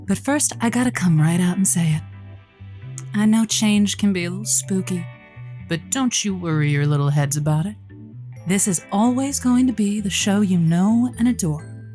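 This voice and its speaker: A woman acts a flirty late-night radio host in an audio drama. She is teasing and theatrical, full of motherly endearments, at a middling pitch, with faint music underneath. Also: lively intonation, normal speed, mellow, natural voice. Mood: flirty, reassuring.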